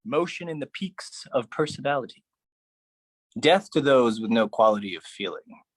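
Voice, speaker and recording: A performer delivering a theatrical spoken-word poetry monologue in a dramatic, deliberate, heavily emphatic manner. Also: mid-range voice, expressive, breathy edge.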